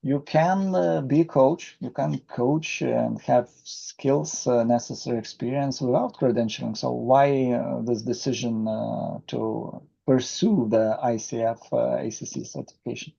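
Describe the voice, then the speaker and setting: A man hosting an interview, asking questions politely in halting phrases. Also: medium pitch, steady tone, creaky, warm tone, husky. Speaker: host. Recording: dull lo-fi recording.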